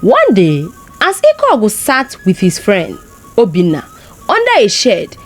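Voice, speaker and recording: A woman with a Nigerian accent telling a folktale in an expressive narrating style. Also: medium pitch, a little slow, faint music behind. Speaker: storyteller.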